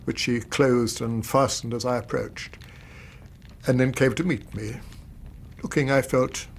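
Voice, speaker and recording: A man's voice: mid-range voice, steady tone, slight background noise, close to an everyday voice. An actor playing an anxious, upright older British banker and weary father, speaking formally in a radio drama. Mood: troubled recounting. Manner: formal, measured, distressed. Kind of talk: BBC radio drama acting.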